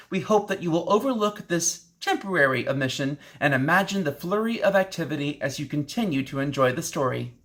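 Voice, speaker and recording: A man's voice: on the high side, a bit bright. A man presenting a scripted introduction to a virtual table read in formal wording.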